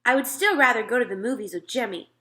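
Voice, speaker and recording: Woman playing a bright, grumbling young boy. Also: on the high side, fast talker. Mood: reluctant. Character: grumbling, reluctant nine-year-old boy.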